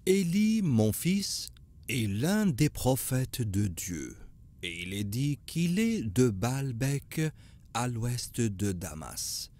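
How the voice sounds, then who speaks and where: A kindly old cartoon grandfather, tells a tale slowly and solemnly. His voice is mellow and patient, with lively rises and falls. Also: mid-range voice. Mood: solemn, gentle. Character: kindly old grandfather sage telling prophetic stories. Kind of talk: cartoon storytelling.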